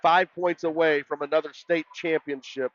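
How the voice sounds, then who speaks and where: A man with a loud voice doing live play-by-play volleyball commentary in an excitable, folksy way. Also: a little high, normal speed, dull audio. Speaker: sports play-by-play announcer.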